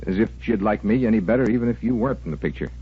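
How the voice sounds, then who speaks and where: An actor playing a blunt, halting, self-deprecating veteran in a 1940s-style radio soap. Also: male voice, on the low side, expressive, slightly rough, faint music behind. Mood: apologetic, earnest. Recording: muffled vintage radio drama recording.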